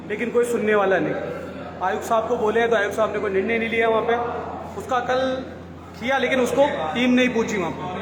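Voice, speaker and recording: A male ward councillor making a blunt, complaining statement at a press conference. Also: sits high. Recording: echoey room with noise.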